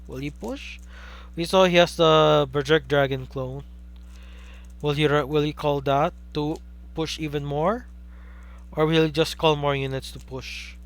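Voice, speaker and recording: Excitable Filipino man calling a card game. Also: on the high side. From Philippines. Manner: excitable, asks rhetorical questions, chats with viewers. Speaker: caster for a card game stream. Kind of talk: live play-by-play commentary.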